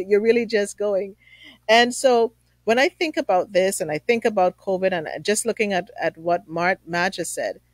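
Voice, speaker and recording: A woman's voice: mid-range voice, no echo. Effusive woman hosting, close dry sound. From Trinidad. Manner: effusive, affirming. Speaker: talk show host. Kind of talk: conversational online interview.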